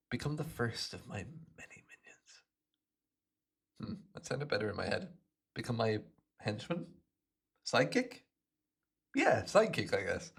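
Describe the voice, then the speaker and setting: A man voicing a smug, playful hypnotist supervillain in an ASMR roleplay, gloating and teasing the listener in a gravelly voice. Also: medium pitch, fairly bright tone, heavily acted. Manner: gloating, teasing, theatrical, swooping between murmurs and exclamations.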